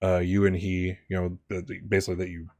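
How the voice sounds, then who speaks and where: A man's voice: sits low, completely flat delivery, fast talker, a little mellow, no room sound. A man narrating a tabletop role-playing game as game master, conversational and rambling, often correcting himself.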